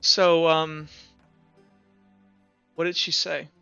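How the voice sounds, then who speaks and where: A man voicing a skeptical, methodical female commander who questions a witness in a halting, matter-of-fact way for a tabletop game. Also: fairly high pitch, little pitch movement, creaky, bright, forceful tone, clear, resonant tone, no room sound, muffled recording, soft background music, exaggerated voice. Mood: inquiring.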